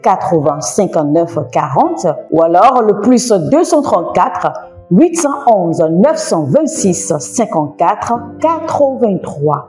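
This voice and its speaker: A woman reading a promotional announcement, reading out the details in a measured way. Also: medium pitch, warm tone. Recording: echoey room.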